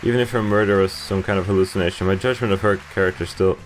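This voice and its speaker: A man's voice: low-pitched, fairly even intonation, rapid-fire, a little hiss or hum. A man in his twenties hosting a playthrough, reading game narration aloud with casual asides. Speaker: gaming video host. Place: indoor room, webcam in corner.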